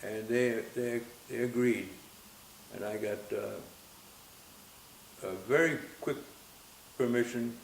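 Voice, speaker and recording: A man in his nineties who grew up in Austria tells his story in a gentle, halting way, going back over his words. His voice is heavily gravelly with fry. The room rings with echo, and a faint hiss sits under the recording. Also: mid-range voice, steady tone. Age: 90s. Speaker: interviewee. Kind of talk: reminiscing interview.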